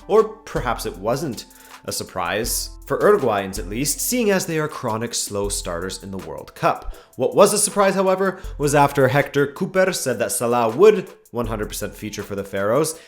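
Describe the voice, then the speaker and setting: A man hosting a daily football review show, delivering a scripted match rundown with dry, wry asides while losing his voice a little. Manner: dry, wry commentary with lively pitch swings.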